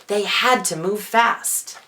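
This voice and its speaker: A man performing as a drag queen, reading a children's storybook in a theatrical, expressive way with playful asides. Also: high-pitched, slow pace.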